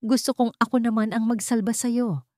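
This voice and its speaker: A woman's voice: on the high side, steady tone, normal speed, dark tone, no echo, studio quality, voice pushed up, close to an everyday voice. A woman voicing a devoted, determined woman in love in a romance story, with earnest emotion. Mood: tender, emotional.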